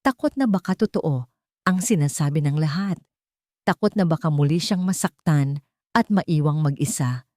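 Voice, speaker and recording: A woman's voice: low voice, normal speed, a little mellow, no echo, studio quality. A Filipino woman narrating a scripted romantic drama as a storyteller.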